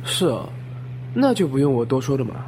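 A man's voice: mid-range voice, big pitch swings, brisk, darkish tone, lightly acted. A man playing a friendly, gossipy taxi driver in a Chinese audio drama, conversational and deferential. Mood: chatty, curious. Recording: vehicle hum behind the voice.

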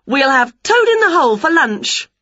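Woman putting on an excitable, high-swooping storybook witch. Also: a little slow, breathy edge, no room sound, highs cut off, full character voice. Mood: excited shouting. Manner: exclamatory, gleeful, gushing. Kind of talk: children's storybook character voice.

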